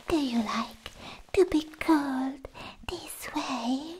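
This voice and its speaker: A woman playing a clingy, lovestruck alien girlfriend in a soft-spoken ASMR roleplay, cooing in a breathy near-whisper close to the mic. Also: sits high. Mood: adoring, coy. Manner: cooing, drawn-out, doting. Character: clingy, lovestruck female alien girlfriend, sweet and slightly obsessed. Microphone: close sensitive microphone.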